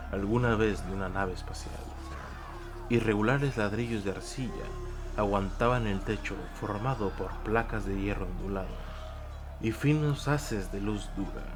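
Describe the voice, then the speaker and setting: A man giving solemn, deliberate scripted narration of a dark audio drama. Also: lowish voice. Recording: clear noise and ambience behind voice.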